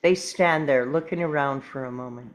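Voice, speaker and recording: A woman narrating scripted stage directions at a table read, measured, with dramatic pauses. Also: extremely low pitch.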